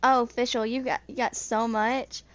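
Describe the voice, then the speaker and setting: A woman's voice: fairly high pitch, little pitch movement, a little slow. A woman in her twenties reading video game character lore aloud, stumbling over some words as she goes. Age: 20s.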